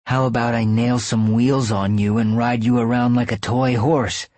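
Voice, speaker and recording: A man's voice: medium pitch, little pitch movement, moderate pace, darkish tone, dry recording, pitched above the performer's own voice, close to an everyday voice. A man voicing a menacing, mocking woman in an audiobook, with a taunting, threatening manner. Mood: mocking threat. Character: menacing, mocking young woman, tough sidekick. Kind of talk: audiobook character acting.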